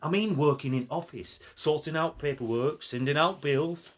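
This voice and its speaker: A man's voice: fairly high pitch, normal speed, natural voice. An actor with a Northern English accent playing a loyal, wry former pitman in a naturalistic radio play, plain-spoken and dryly humorous. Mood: warm, joking.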